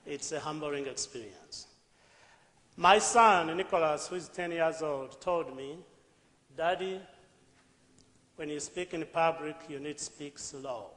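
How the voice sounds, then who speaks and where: A middle-aged Ugandan man with a Ugandan accent, giving an earnest, deliberate public talk with rising emphatic bursts. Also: on the high side, fairly bright tone. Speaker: speaker. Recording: echoey hall with long reverb.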